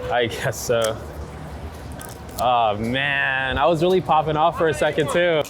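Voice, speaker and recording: A man with a middle-pitched voice chats in a loose, slangy, enthusiastic way, as a photographer being interviewed. He is outdoors in the city, with clear traffic and street noise around him. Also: fairly even intonation, a little slow. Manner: enthusiastic, informal, slangy with heavy use of "like". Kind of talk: casual chat, unscripted interview while out walking. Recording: loud urban street ambience, traffic noise. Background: city traffic and street ambience.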